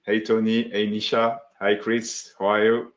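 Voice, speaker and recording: A man from France with a French accent, hosting a live webinar as founder of a software tool, greeting viewers in the chat and demoing his workflow. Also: fairly low pitch, flat delivery, unhurried. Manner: friendly, greets chat viewers by name, many fillers.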